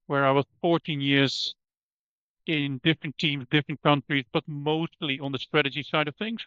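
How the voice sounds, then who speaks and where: A man with a Dutch accent, speaking as an energy strategist guest and explaining things in a thoughtful way, with many ums and restarts, often trailing off quietly at the end of a phrase. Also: mid-range voice, smooth, dry recording, muffled recording. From Netherlands. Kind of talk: conversational expert explanation.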